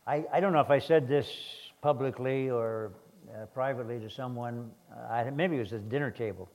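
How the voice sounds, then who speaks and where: An older man preaches in a hoarse voice, often stumbling and restarting mid-sentence. His delivery is plain and unhurried as he reads scripture and comments on it. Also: mid-range voice, darkish tone. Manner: halting, many restarts and fillers. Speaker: guest preacher. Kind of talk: sermon.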